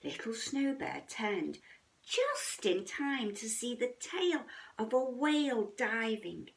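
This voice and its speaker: A woman reading a children's bedtime story expressively, dramatic and at times hushed. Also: on the high side, big pitch swings, slow, gravelly, distant mic.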